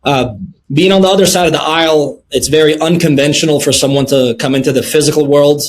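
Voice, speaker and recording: A middle-aged man from Lebanon answering interview questions in a measured way, with many filler sounds between phrases. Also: fairly high pitch, steady tone. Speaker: guest, precious metals dealer.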